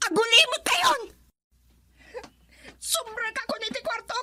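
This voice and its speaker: A woman's voice: very high voice, unhurried, bright, forceful tone, heavily acted. A woman playing a heartbroken, wronged young daughter in a radio drama, with tearful, wailing delivery. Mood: bitter, upset. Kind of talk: melodramatic radio drama acting.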